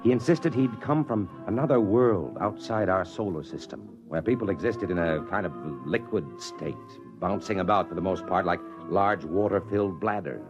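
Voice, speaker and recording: A man's voice: deep voice, normal speed, few pauses, music in the background, lightly acted. An actor playing an eager, skeptical reporter in a 1950s radio drama, plain-spoken and wry as he narrates and talks. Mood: wry narrating. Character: eager, skeptical, ambitious male newspaper reporter. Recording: dull old-time radio recording.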